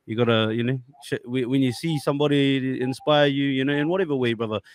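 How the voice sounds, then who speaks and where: A man's voice: medium pitch, fast talker. A man from New Zealand hosting a podcast, easygoing and affectionate, calling his guest brother often. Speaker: podcast host. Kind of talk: casual chat. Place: home studio. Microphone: large studio mic with headphones.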